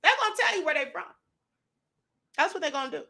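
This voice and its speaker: Sassy, animated woman commentator. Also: high voice, fast talker. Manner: sassy, animated, laughs often. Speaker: show host. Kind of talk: commentary reacting to viral videos.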